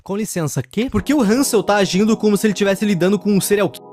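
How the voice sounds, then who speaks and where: A man's voice: high-pitched, fast talker, a little mellow, soft background music. A man with a Brazilian accent giving casual, wry, opinionated commentary as a video host reviewing a horror story.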